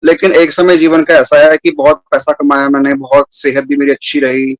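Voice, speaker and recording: A man's voice: on the high side, level tone, normal speed, no echo, rough recording. Earnest man recounting his faith, dry sound. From New Delhi, India. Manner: earnest, rambling, mixes English words into speech. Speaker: guest. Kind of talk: personal testimony on a video call. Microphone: video call.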